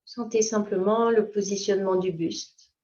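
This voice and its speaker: A woman teaching yoga nidra, leading a slow guided meditation in a calm, unhurried way with short, gentle instructions. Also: medium pitch. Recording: dull, lo-fi sound.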